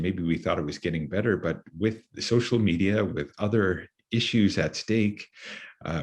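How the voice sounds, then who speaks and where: A man's voice: low voice, darkish tone. A Canadian man hosting an interview, talking earnestly and searching for words with many fillers. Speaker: interview host. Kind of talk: conversational interview.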